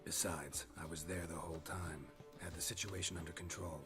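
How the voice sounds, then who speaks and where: An actor playing a weary, middle-aged monster hunter in a video game, speaking in short, dry lines. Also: male voice, fairly even intonation, fairly quick, natural voice. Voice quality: gravelly, low and terse. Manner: curt, dry, matter-of-fact. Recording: game audio with music underneath.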